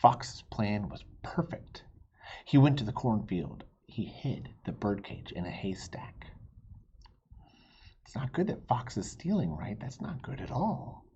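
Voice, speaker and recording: Animated husky man reading a storybook. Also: lowish voice, a little slow, whispered, highs cut off. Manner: animated, asks children questions. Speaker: teacher reading to children.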